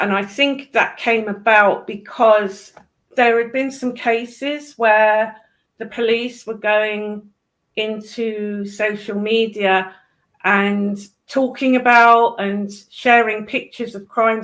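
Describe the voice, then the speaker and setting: Slow-paced woman, blunt and opinionated. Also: medium pitch, fairly bright tone. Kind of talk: casual discussion.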